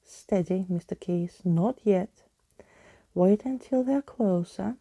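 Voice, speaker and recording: A woman's voice: medium pitch, slow pace, dark tone, no echo. A woman voicing a bold, theatrical skeleton man in a storybook, grandly spoken and playful. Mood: confident. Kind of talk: storybook character in ASMR reading.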